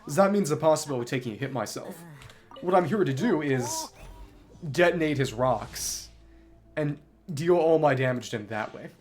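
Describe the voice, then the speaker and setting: A man's voice: a little high, expressive, moderate pace, darkish tone. A man doing live gameplay commentary as a streamer, talking analytically through tactics to himself. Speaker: game streamer. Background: music behind the voice.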